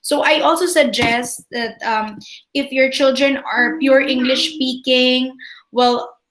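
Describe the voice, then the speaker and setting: Female school administrator, Filipino accent, native Tagalog. Unhurried, slightly high voice, polite and halting, fielding questions in a roomy online meeting. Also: fairly even intonation, fairly bright tone. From Philippines. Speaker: school administrator hosting a parent orientation. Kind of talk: informal question-and-answer presentation to parents.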